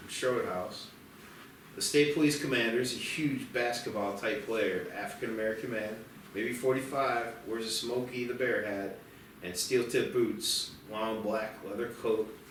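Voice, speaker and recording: A man's voice: fairly low pitch, unhurried, fairly bright tone, a little hiss or hum. A man reading scripted screenplay directions as the narrator of a table read. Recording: very echoey, distant, music behind.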